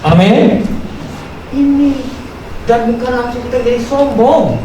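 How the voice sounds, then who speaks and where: A woman's voice: on the high side, a little slow. A woman preaches a sermon, exhorting with rhetorical questions and repeated phrases. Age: 70s. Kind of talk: sermon to a congregation. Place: large echoey room at a live church service. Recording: very echoey, distant, noisy live church recording.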